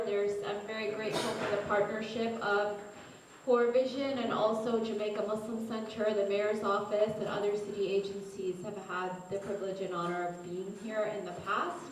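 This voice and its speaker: A woman, a city advisor, giving a friendly seminar talk with frequent ums. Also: on the high side. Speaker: city community affairs advisor. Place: large echoey hall. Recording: echoey hall, distant, faint noise.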